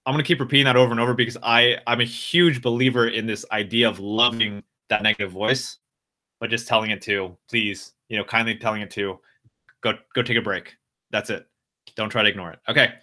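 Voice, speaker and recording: Bright-voiced American man chatting on a live stream. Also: fairly low pitch, fairly even intonation, fairly quick. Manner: talks straight to viewers, reads out and answers chat comments; loose, rambling, full of restarts and fillers. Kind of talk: casual live stream chat.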